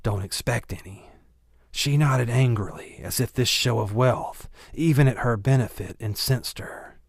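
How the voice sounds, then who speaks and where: A man's voice: mid-range voice, measured pace, no room sound, clean studio sound. A man narrating a novel as a scripted audiobook reading.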